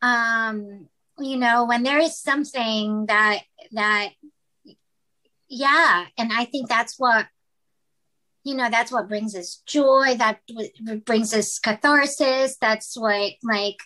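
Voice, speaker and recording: A woman's voice: fairly high pitch, slow, sharp tone, rough recording. A woman from the Philippines, a writer and actress, speaking as a guest in a casual interview, hesitant with many fillers and restarts.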